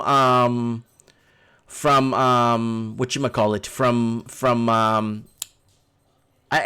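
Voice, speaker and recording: A man's voice: a little high, fairly bright tone. A man hosting a casual live call-in stream, rambling and repeating himself as he addresses his viewers. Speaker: livestream host.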